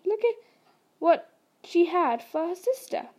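A woman reading aloud and voicing a big, gruff, kindly male gamekeeper in a loud, hearty voice. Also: very high voice, very animated, a little slow, pitched above the performer's own voice, heavily acted.

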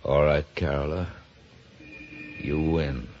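An actor playing a grim, secretive murderer and doomed lover in a 1940s radio mystery, terse and resigned. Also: male voice, very deep voice, fairly even intonation, takes it slowly, soft background music. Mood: guarded. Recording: dull old radio recording.

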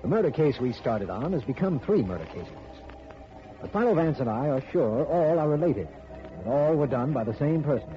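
A man's voice: medium pitch, very animated, moderate pace, natural voice. A man playing an earnest, skeptical district attorney in a 1950s radio drama, emphatic and formal. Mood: grave narration. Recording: muffled old radio recording with music.

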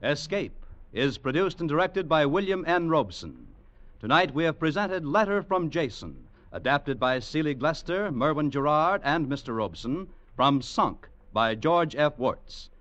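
A man's voice: fairly high pitch, lively intonation, moderate pace, a bit bright. A man announcing in a formal, scripted 1940s radio style. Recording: muffled vintage radio recording.

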